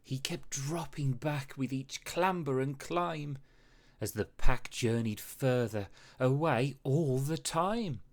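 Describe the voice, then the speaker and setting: A storyteller reading a rhyming children's story aloud with expressive, dramatic phrasing. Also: mid-range voice, slow. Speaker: storybook reader. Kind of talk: children's read-aloud narration in rhyme.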